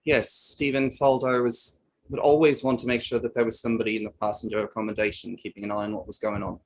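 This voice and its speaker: A man's voice: fairly low pitch, little pitch movement, quick pace, lightly acted, low-quality recording. Man playing a firm, plain-spoken riverboat crewman witness. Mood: matter-of-fact. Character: male riverboat crewman witness, matter-of-fact and defensive. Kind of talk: table read of an inquiry play.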